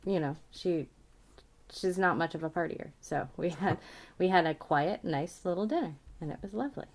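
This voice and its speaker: Lower-voiced woman in casual conversation. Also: animated, normal speed. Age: 30s. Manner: hesitant, stop-start phrasing with frequent small laughs. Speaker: podcast host. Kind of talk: casual, personal podcast conversation.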